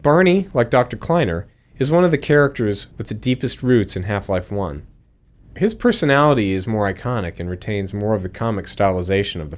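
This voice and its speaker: A man speaking as a game developer, reading scripted commentary. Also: on the low side.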